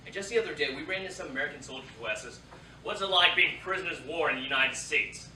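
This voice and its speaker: A proud, bitter young soldier of the Second World War speaks out in a theatre play, acted by a man. The voice is slightly high and very sharp, with impassioned emphasis. It echoes around the room. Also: rapid-fire. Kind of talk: staged reading of a play, dramatic and declamatory. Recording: roomy, distant theatre recording, faint noise.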